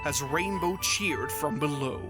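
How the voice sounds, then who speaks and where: A narrator reads a scripted story in a voice of middling pitch. The delivery is that of prose read aloud. Music plays clearly behind the words. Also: unhurried.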